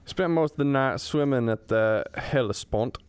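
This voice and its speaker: A man's voice: medium pitch, moderate pace, slight background noise, natural voice. A man playing a cranky, rueful old professor in a comedic radio drama, grumbling in a plain, folksy, self-deprecating way. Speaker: student radio drama actor.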